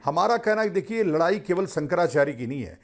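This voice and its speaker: Man, slightly high voice, calm explainer, religious figure on a debate. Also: brisk. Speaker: religious panelist.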